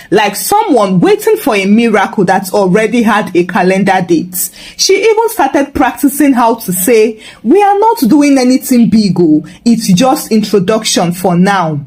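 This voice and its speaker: A woman with a Nigerian accent narrating a scripted folktale in an expressive, humorously dramatic way. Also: a little high. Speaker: storyteller, narrator.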